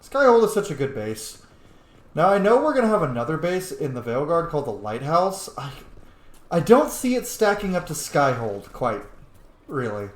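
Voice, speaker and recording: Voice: mid-range voice, very animated, normal speed. A game streamer of unclear sex giving casual, opinionated commentary while playing, chatty and informal. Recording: echoey room sound.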